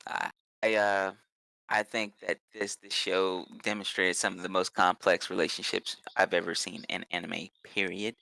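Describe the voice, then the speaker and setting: A young man with a bright, smooth voice, recorded close and dry. He talks in an enthusiastic, rambling way, padding his points with fillers as he defends his views in a casual podcast chat. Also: low-pitched, level tone, moderate pace, no room sound, poor audio. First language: not Japanese; speaks English. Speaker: podcast co-host. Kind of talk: casual anime review chat.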